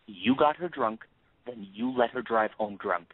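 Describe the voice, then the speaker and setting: A man acting in an audio play as a bitter grieving father turned cold avenger, accusing and sarcastic in a deliberate, commanding way. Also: medium pitch, animated, breathy edge, no echo. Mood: bitter, anguished. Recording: tinny phone-like band.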